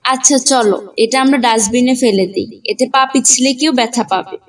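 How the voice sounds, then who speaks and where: Woman as an earnest high-pitched cartoon schoolgirl. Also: fairly quick, distant mic, close to an everyday voice. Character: earnest young schoolgirl, sensible and civic-minded. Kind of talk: cartoon dialogue.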